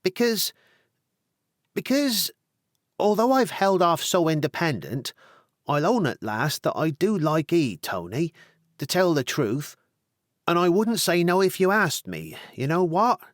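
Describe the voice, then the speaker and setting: A man voicing a coy, excitable country girl. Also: fairly high pitch, a little slow, no room sound, studio quality, pitched above the performer's own voice. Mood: coaxing. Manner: rustic dialect speech.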